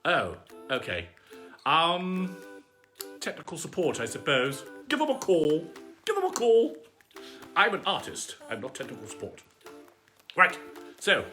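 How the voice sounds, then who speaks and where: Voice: mid-range voice, very animated, a little slow, bright, forceful tone, faint music behind. A performer voicing a pompous aristocratic cat lord, gushing theatrically and affectionately as host of a live bedtime story show. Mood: huffy. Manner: pompous, gushing, luvvie exclamations. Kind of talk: theatrical livestream greeting and shout-outs.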